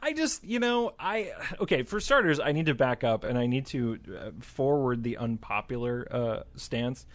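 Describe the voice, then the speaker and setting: Halting man in a dry-sounding chat. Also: medium pitch, lively intonation, no echo. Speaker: film podcast panelist. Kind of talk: casual chat.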